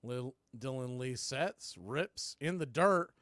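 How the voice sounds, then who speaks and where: A man calling a baseball game live as a play-by-play announcer, mixing clipped pitch calls with folksy, casual asides. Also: lively intonation, slow pace, a bit bright, dry recording, studio quality. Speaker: baseball play-by-play announcer for a fan radio stream.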